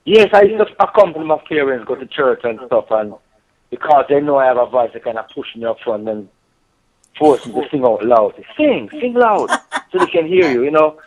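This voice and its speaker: A man with a Jamaican accent, a reggae singer being interviewed, talking in a relaxed way with lots of "you know" and "yeah, man". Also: mid-range voice, brisk, dull audio. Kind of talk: casual interview over a call.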